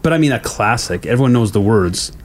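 A man's voice: mid-range voice, animated, brisk. A man co-hosting a casual radio show, musing aloud and asking wry questions. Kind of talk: casual morning radio chat.

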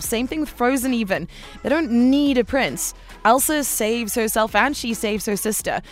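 A woman hosting a radio talk show, speaking in an informal, slangy and emphatic conversational way. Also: on the high side. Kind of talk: conversational radio commentary with listener voice notes.

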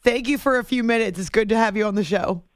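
A woman's voice: fairly high pitch, quick pace, dry recording, clean studio sound. A woman hosting a sports radio show, interviewing a guest in a conversational way.

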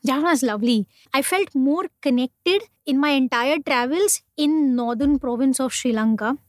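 A young Indian woman telling travel stories in a relaxed interview, rambling on with plenty of ums and restarts. Her voice sits a bit high and sounds close and dry, with no room around it. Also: moderate pace, dry recording. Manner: chatty, many fillers and restarts. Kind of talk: casual conversational storytelling.

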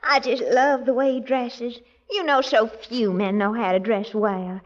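A woman's voice: fairly high pitch, steady tone, a little slow, lightly acted. A woman playing a gushing, flirtatious widow with a Southern American accent, in 1940s radio comedy style. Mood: gushing, swooning. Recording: dull vintage 1940s radio recording.

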